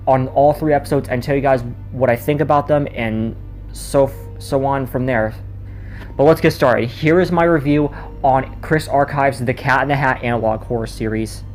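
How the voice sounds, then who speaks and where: A man's voice: medium pitch, darkish tone. A man giving a casual spoken video review in a rambling way, often stumbling over his words. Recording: noticeable background noise.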